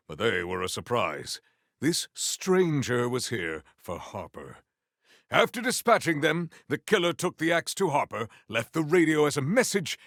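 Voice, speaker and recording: Stately warrior with dramatic pitch swings. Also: male voice, creaky, fairly bright tone, no echo, studio quality, full character voice. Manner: formal, archaic, theatrical diction. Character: proud, formal, honor-bound male warrior, grandiose and battle-hungry. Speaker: video game voice actor. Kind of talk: theatrical video game character voice lines.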